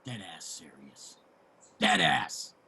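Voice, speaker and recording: An actor playing a bitter, wounded, gruff homeless man in a dramatic stage play reading. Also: deep voice, expressive, takes it slowly, pushed and bright, full character voice. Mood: cold anger.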